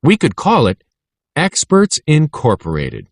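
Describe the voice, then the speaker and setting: A man's voice: mid-range voice, huge pitch swings, measured pace, vocal fry, no echo, pitched above the performer's own voice, full character voice. A man voicing an eager, talkative schoolboy, the playful best friend in a children's story. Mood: excited.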